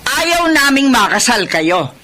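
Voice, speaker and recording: A woman's voice: on the high side, very animated, a little slow, pushed and bright, heavily acted. An actress playing a domineering, money-minded mother who scolds and insists in a Filipino radio drama. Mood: stern, demanding. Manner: scolding, insistent, sharply emphatic. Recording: noisy radio drama recording.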